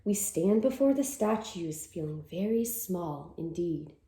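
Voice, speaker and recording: Female storytime teacher, mellow tone, very animated pitch, simple explanatory style for kids, roomy echo. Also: unhurried. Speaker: teacher reading a picture book aloud to children.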